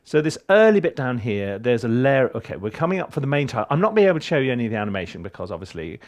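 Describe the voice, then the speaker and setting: Chatty man with a lively voice. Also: medium pitch, racing. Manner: chatty, rambling, many ums and restarts. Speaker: composer teaching film scoring. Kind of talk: casual screen-share walkthrough. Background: music from his session playing faintly.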